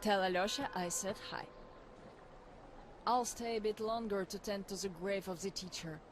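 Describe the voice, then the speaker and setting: A woman playing a brisk young forest leader. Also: medium pitch, steady tone, slight background noise, natural voice. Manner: brisk, practical, giving directions. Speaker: young woman who helps the hero. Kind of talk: video game cutscene voice acting.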